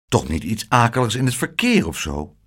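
A man's voice: moderate pace, slightly rough, full character voice. Een man die een vriendelijke, kalme oude boekhandelaar voorleest in een kinderverhaal. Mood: kindly. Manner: soothing, low and calm. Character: kind calm old bookseller, gentle man.

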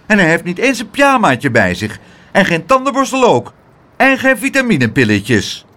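A man's voice: big pitch swings, normal speed, fairly bright tone. Een man die een schelle, bazige, verontwaardigde tante voorleest in een kinderverhaal. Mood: shouting. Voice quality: high, scolding, shrill. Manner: indignant, demanding. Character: shrill bossy fussing aunt, middle-aged woman.

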